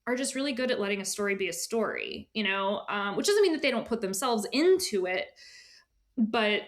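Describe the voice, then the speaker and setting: A woman's voice: medium pitch, very animated, a little fast. A woman speaking as an editor and guest in a casual interview, informal, often dropping into asides and imagined quotes.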